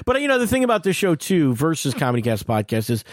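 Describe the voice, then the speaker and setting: A man's voice: a little high, wildly swooping pitch, quick pace, no room sound, clean studio sound. A middle-aged man hosting a podcast, talking in a rambling, explanatory way about stand-up comedy. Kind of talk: casual chat. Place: podcast studio. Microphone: studio mic.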